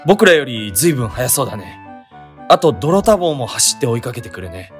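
A man's voice: mid-range voice, sing-song, moderate pace, soft background music, close to an everyday voice. A man playing a mild, naive, resigned young sightseer in a comedic radio drama, plain-spoken and bemused.

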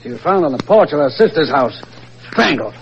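An actor playing a skeptical, matter-of-fact police inspector in an old-time radio thriller. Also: male voice, on the high side, very animated, brisk, vocal fry, loud music behind the voice. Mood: grim, brisk. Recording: dull, slightly noisy old radio recording.

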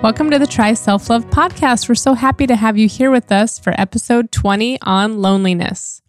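A woman's voice: a little high, fairly quick, faint music behind. A woman hosting a self-help podcast, a life and relationship coach talking in a casual, encouraging way.

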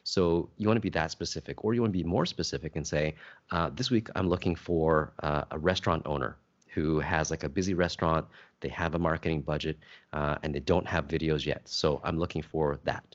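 A man's voice: very deep voice, fairly even intonation, a little fast, muffled recording. A man talking casually about his business networking groups, with many fillers and restarts. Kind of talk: casual conversation.

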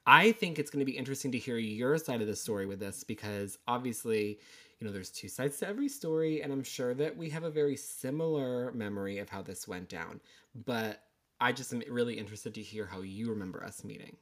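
A man's voice: mid-range voice, lively intonation, fairly quick, a bit bright. A young man from Connecticut, co-hosting a podcast in bubbly, giggly chat. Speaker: podcast co-host. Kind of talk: casual chat.

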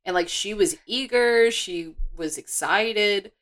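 A woman's voice: medium pitch, slow pace, fairly bright tone. A woman from Connecticut co-hosting a podcast, telling a story casually with many "like" fillers. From Connecticut, USA. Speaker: podcast co-host.

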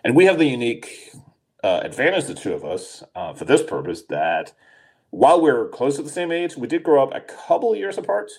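A man's voice: mid-range voice, sing-song, brisk, darkish tone, slightly rough. A man in his forties from Denmark, co-hosting a podcast in casual chat, rambling with many fillers and restarts. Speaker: podcast co-host.

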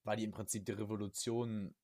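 Young man chatting, lowish voice, dry sound. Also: little pitch movement, fairly quick, vocal fry, darkish tone, no room sound. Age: young adult. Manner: youth slang with English gaming words. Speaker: podcast host. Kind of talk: casual chat about video games.

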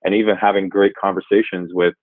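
A man in his forties from Jamaica, a guest speaking in a measured, thoughtful way and often pausing to rephrase. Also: sits low, level tone, dry recording. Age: 40s. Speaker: guest, revenue executive. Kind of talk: reflective interview answers. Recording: tinny phone-like line, dry.

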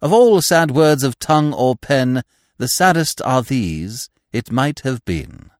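Man as suave storybook gentleman, courteous, unruffled, drily grandiose, swinging intonation, dry recording. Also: lowish voice, big pitch swings, dry recording. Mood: mock mournful. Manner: polite, measured, drily grandiose.

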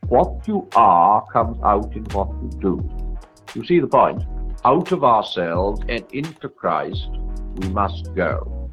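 Lively low-voiced man preaching over guitar music. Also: slow.

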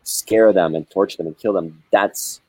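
Very deep, husky man musing slowly. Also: warm tone, no room sound, low-quality recording. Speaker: spiritual guest. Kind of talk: reflective spiritual conversation.

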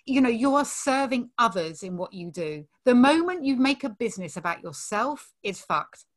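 A woman's voice: fairly high pitch, sing-song, moderate pace. A woman hosting a podcast interview, encouraging and affirming her guest, with a casual tone and the odd swear word. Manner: warm-hearted, encouraging, occasional swearing. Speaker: podcast host.

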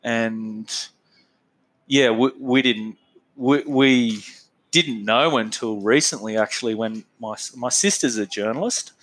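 A man's voice: mid-range voice, slow pace, fairly bright tone. A man with an Australian accent chats loosely as an interview guest. His delivery wanders and is full of fillers, broken up by easy laughter. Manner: laid-back, rambling, laughs often, lots of fillers. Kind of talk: casual interview chat.

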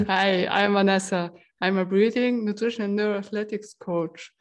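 A woman's voice: medium pitch, steady tone, measured pace. Woman from Germany, breathing and nutrition coach, upbeat, mid-range voice. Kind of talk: podcast guest intro.

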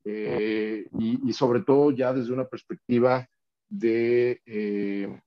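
A man's voice: medium pitch, fairly even intonation, slow. Rambling Mexican man hosting a podcast. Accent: Mexican Spanish. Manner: friendly, rambling, repeats words. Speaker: podcast host. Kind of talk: casual interview chat. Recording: slightly muffled audio.

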